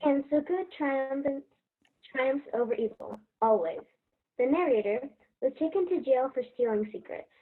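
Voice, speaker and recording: An earnest little girl acted by a woman speaks in a high voice. She is hopeful and sincere, in the manner of a fairy-tale play. The recording is dull and lo-fi. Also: measured pace. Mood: proud storytelling. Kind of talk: stage play acting and storybook narration. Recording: dull, muffled lo-fi sound.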